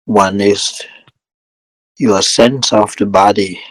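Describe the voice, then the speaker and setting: Male meditation guide, lowish voice, flat calm delivery in short measured phrases, close dry sound. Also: no echo, rough recording. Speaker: meditation teacher leading a guided session.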